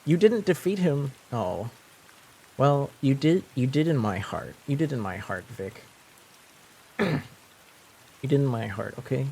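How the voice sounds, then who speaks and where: A man's voice: mid-range voice, animated, a little slow, gravelly, pauses often, warm tone, slight background noise, low-quality recording. A young man whose first language is French, chatting casually on a live stream, rambling with lots of fillers and restarts. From French-speaking.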